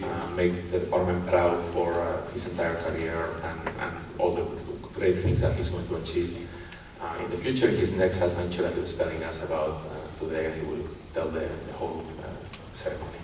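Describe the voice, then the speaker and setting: A man making the formal introduction at a graduation, his flat delivery echoing around a big venue over faint noise. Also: low-pitched, moderate pace. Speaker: university department chair introducing a graduation speaker. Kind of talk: ceremony introduction speech. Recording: echoey hall, distant mic, faint noise.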